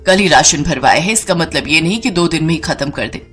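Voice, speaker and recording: Storybook mother-in-law, played by a woman: older, low-pitched, bossy, penny-pinching, scolding, music underneath. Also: animated, a little fast, a bit bright. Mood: grumbling. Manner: scolding, demanding.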